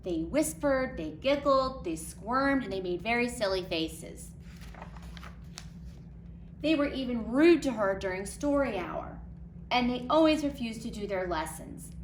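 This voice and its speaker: A woman, a nursery school teacher, reading a children's storybook aloud in an expressive, dramatic way. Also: a little high, measured pace. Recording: roomy echo, faint background noise.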